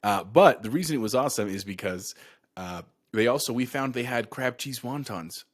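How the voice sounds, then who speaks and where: A man co-hosting a casual gaming podcast, telling relaxed stories and chuckling easily. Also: medium pitch, normal speed. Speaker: podcast co-host.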